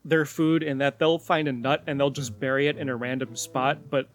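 A man co-hosting a podcast, calmly explaining facts in casual chat. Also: medium pitch, normal speed. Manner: calm, explanatory, laughs along. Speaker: podcast co-host.

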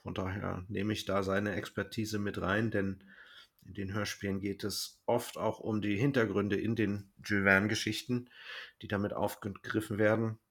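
A man's voice: fairly low pitch, level tone, moderate pace, a bit bright. A man hosting a podcast in casual chat, explaining things and thinking aloud as he goes. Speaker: podcast host.

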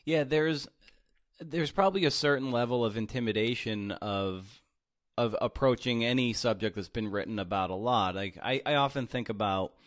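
A man's voice: medium pitch, normal speed, no echo, clean studio sound. A man hosting a podcast interview, asking questions in an appreciative way with frequent fillers. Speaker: podcast host.

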